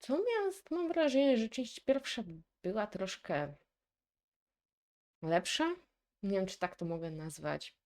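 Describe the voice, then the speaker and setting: A woman's voice: mid-range voice, animated, slow pace, long pauses, a little mellow. A woman with a Polish accent giving casual reaction commentary as a video host, musing and thinking aloud.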